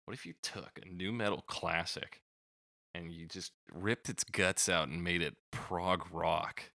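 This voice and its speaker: Male podcast host, low-pitched and sharply bright, chatting casually with slangy swearing and jokes. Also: steady tone, measured pace, vocal fry. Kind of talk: casual chat.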